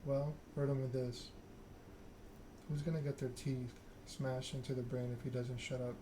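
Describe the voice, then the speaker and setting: A man acts out a coldly controlled vampire enforcer in a story game. He is curt and measured, with menace held in a flat, mid-pitched voice. Clear noise sits behind the voice. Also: natural voice. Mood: threatening.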